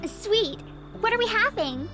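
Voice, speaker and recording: A playful teenage girl from a visual novel game. Her voice is extremely high and girlish, swooping about in a slow, cutesy delivery. Music plays clearly behind her. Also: expressive, bright, forceful tone, heavily acted. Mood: cheerful. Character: playful, giggly teenage girl, a younger sister. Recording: game audio under prominent music.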